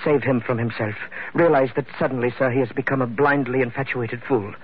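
An actor playing a scheming, theatrical gentleman rogue who speaks in a pompous, unctuous, flowery way in an old radio drama. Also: mid-range voice, fairly quick, slightly rough, close to an everyday voice. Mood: pleading. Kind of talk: 1950s radio drama acting. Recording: muffled old radio recording, faint noise.

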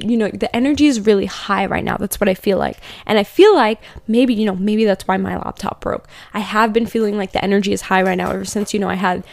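A young woman hosting a casual spiritual chat, rambling with many fillers and slowing down to read out affirmations. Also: medium pitch, vocal fry, no room sound. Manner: rambling, filler-heavy, laughs often, slows down for affirmations. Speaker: podcast host doing card readings. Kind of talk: casual spiritual chat with tarot-style readings.

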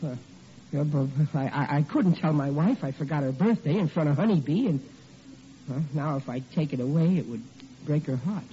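An actor playing a flustered, blustery, stammering working-class husband in a vintage radio sitcom. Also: male voice, a little high, expressive, moderate pace, dark tone, lightly acted. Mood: blustering, defensive. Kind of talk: 1940s radio sitcom acting. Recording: muffled, noisy old radio recording.

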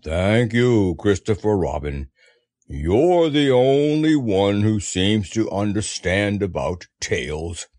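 Storybook donkey, male reader acting: gloomy, self-pitying, very slow, close dry sound. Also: lowish voice, sing-song, darkish tone, no room sound, pitched below the performer's own voice. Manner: slow, mournful, drawn-out delivery.

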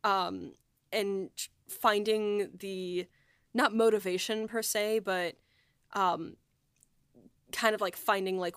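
A woman's voice: medium pitch, a bit bright, smooth, no echo, clean studio sound. A woman writer and producer in a casual behind-the-scenes discussion, talking in a reflective, rambling way.

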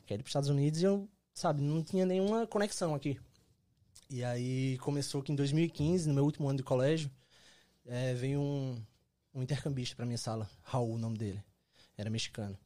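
Fast-talking young man from Pernambuco. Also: medium pitch. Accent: Pernambuco, Brazil, softened. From Pernambuco, Brazil. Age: 20s. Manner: relaxed storytelling with slang. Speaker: guest. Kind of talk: casual podcast chat.

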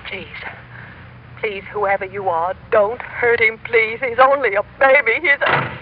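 Old muffled radio drama, actress doing a desperate mom begging, very high voice, emphatic, noisy sound. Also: female voice, fairly even intonation, unhurried, natural voice. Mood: frightened, pleading. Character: desperate mother of a kidnapped boy, pleading. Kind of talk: old-time radio melodrama acting.

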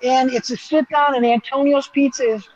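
A man's voice: extremely high pitch, steady tone, normal speed, dry recording, lo-fi sound. A man giving an enthusiastic, conversational live walk-through as a restaurant reporter, explaining as he goes.

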